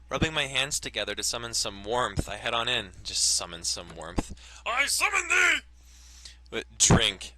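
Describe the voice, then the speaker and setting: A man's voice: mid-range voice, background noise. A man reading a visual novel aloud and cracking sarcastic jokes about the writing, with game music behind. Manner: sarcastic, playful commentary. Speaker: let's play host.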